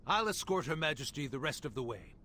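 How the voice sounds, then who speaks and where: An actor playing an upbeat, honorable imperial official in a fantasy game, eager and ceremonious. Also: male voice, a little high, sing-song, fast, background noise. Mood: eager, proud. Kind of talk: fantasy game cutscene acting.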